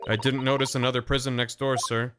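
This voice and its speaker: A man's voice: medium pitch, level tone, fast, noisy background, voice pushed down, natural voice. A man reading a polite young law student. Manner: earnest, courteous.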